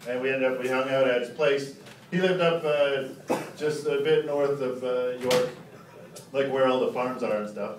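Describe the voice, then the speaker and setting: A man's voice: medium pitch, fairly even intonation, moderate pace. A man doing live stand-up storytelling, teasing and sarcastic as he rambles through casual banter. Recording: roomy, distant, very noisy live club recording.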